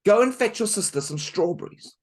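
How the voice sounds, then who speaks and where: A man storyteller voicing a bossy, harsh stepmother who shouts orders and threats. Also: high voice, expressive, brisk, voice pushed up.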